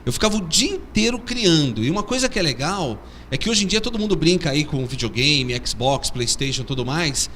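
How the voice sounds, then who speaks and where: A man's voice: a little high, brisk, few pauses, slight background noise. A man from São Paulo with a Paulistano accent, a comedian telling stories about his childhood in an interview. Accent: Paulistano Brazilian Portuguese. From São Paulo, Brazil. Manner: animated storytelling. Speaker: comedian guest. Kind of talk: interview chat.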